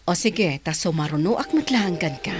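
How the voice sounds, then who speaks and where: Casting: actress as reassuring Ilocano woman doctor, lowish voice with lively intonation, music underneath, radio drama. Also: normal speed, close to an everyday voice. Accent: Filipino (Ilocano). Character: composed, reassuring female doctor.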